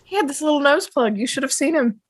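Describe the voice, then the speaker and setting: A woman's voice: sits high, animated, fast. A woman playing a chatty middle-aged wife, gossipy and emphatic, in a sci-fi table read. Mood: amused, gossipy.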